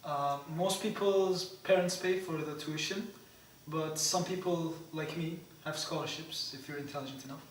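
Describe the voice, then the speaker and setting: A man's voice: fairly high pitch, steady tone, normal speed, slight background noise. A young man with a Turkish accent, an exchange student answering interview questions hesitantly, with many fillers. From Turkey. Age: young adult. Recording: echoey room, mic at a distance.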